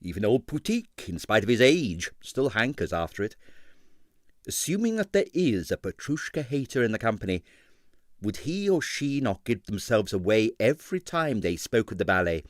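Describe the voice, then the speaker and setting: A man narrating a comic detective novel as an audiobook reader, in a measured, dramatic storytelling delivery with emphatic phrasing. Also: on the low side, big pitch swings, no echo, studio quality.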